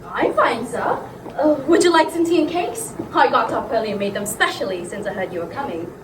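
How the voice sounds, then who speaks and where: A woman's voice: fairly high pitch, animated, fairly quick, lightly acted. A woman playing a humble, polite farm schoolgirl in a theatrical comedy, deferential and chatty but innocently evasive. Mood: eager, hospitable. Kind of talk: theatrical comic drama. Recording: roomy, distant, clearly noisy recording.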